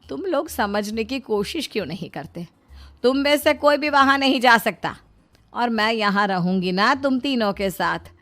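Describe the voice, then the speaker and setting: A woman's voice: on the high side, expressive, moderate pace, fairly bright tone. A woman voicing a crafty, firm middle-aged mother-in-law in a cartoon story, coaxing and pleading by turns. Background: faint background music.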